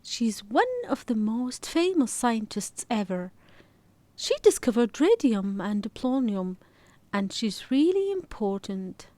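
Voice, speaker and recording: Woman doing a bold excitable kid girl in a storybook, high n bouncy pitch, teasing best friend type. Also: takes it slowly, no room sound. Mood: eager. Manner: excitable, exclaiming.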